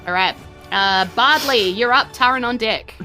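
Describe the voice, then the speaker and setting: A woman's voice: a little high, moderate pace, bright, forceful tone. A woman with a New Zealand accent casually narrating a tabletop game as game master. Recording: background music under speech. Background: music.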